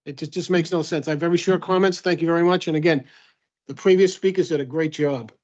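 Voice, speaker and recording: A man's voice: a little high, steady tone, fast, darkish tone. A man bluntly and indignantly opposing a tax during public comment at a meeting. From Massachusetts. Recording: dull lo-fi meeting audio.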